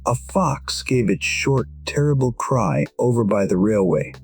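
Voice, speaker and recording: A man voicing a weary, proud musician in his sixties, narrating an audio drama in a measured, reflective way. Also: mid-range voice, very animated, husky, faint music behind, heavily acted. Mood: quiet regret. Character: weary, proud 62-year-old male musician, quietly regretful. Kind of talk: first-person scripted narration, audio drama.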